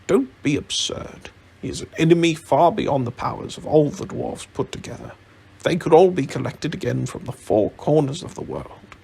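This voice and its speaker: A man playing a grave, proud old wizard who speaks in a slow, admonishing way for a storybook. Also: mid-range voice, animated, gravelly, slight background noise. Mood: stern.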